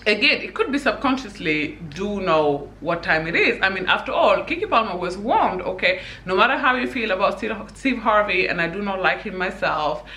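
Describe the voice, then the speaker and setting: Bright-voiced opinionated woman from Senegal. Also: medium pitch, lively intonation, moderate pace, hardly any pauses, reverberant. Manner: opinionated, emphatic, conversational with frequent "you know". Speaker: vlogger. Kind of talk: opinion commentary vlog.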